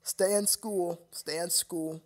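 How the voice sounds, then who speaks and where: A man's voice: high voice, fairly even intonation, slow. A young man chatting casually with viewers on his live stream, rambling and stammering with frequent laughter.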